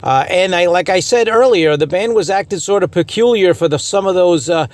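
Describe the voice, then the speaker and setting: A man's voice: high-pitched, fairly quick. A man chatting casually to viewers as an amateur radio vlogger. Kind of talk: casual chat to camera.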